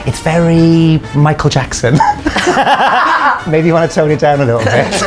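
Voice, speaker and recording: A man's voice: on the high side, big pitch swings, slow. A man from Wales, chatting in a casual, self-deprecating way in a documentary interview. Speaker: Irish dancer in a comedy circus show. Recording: music behind the voice. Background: music.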